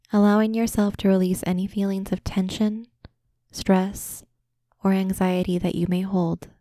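A woman narrating a scripted guided imagery meditation in a gentle, calming, unhurried manner. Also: medium pitch, no room sound, studio quality.

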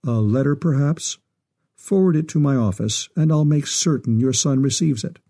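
Older official, read by a male narrator: measured, formal, very dark husky tone, story reading. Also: medium pitch, lightly acted.

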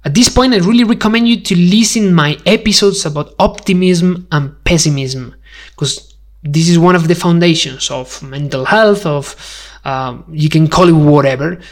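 A man from Spain with a Spanish accent, hosting a podcast as a conversational, educational monologue, speaking emphatically and putting rhetorical questions straight to the listener. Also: a little high. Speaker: podcast host.

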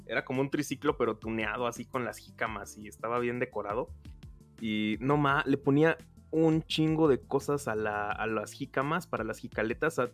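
A Mexican man co-hosts a relaxed podcast chat. He rambles along in slang at a middling pitch, with faint music playing beneath his voice. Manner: slangy, rambling, laughs often. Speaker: podcast co-host. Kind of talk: casual chat.

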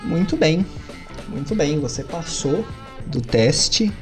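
A Brazilian man narrates a tabletop game as its game master, in a mellow, relaxed voice of middle pitch. He tells the scene with animated jumps in pitch, and faint music plays behind him. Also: takes it slowly. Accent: Brazilian Portuguese. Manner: animated storytelling with sudden lifts in pitch.

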